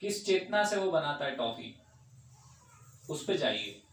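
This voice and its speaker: A man's voice: fairly high pitch, big pitch swings, a little slow, fairly bright tone. A man leading an informal question-and-answer discourse in a temple hall, talking conversationally and often checking that listeners agree. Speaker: devotee speaker answering audience questions. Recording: echoey room, far from the mic, faint hum.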